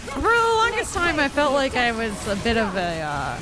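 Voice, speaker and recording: Female game streamer, high and very animated, unhurried, chatty and self-deprecating, clear game music underneath. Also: poor audio. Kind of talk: casual gaming livestream commentary. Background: video game music.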